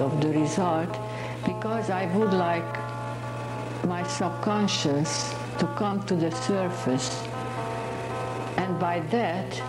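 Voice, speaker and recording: A painter from Hungary, a woman speaking English with a Hungarian accent, talks about her art in a halting, searching way. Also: fairly low pitch, roomy sound, poor audio. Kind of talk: interview monologue.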